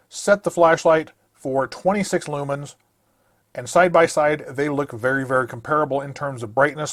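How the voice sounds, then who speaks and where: A man's voice: on the high side, dry recording, clean studio sound. A man narrating a scripted product review, listing features in a methodical, matter-of-fact way.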